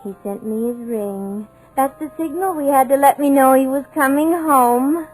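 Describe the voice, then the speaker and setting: A woman's voice: high-pitched, normal speed, darkish tone, faint music behind, close to an everyday voice, poor audio. A woman playing a dazed, dreamy housekeeper. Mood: eerily sure. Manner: dreamy, fixed belief. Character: dazed young housekeeper awaiting lost husband. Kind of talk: 1940s radio acting.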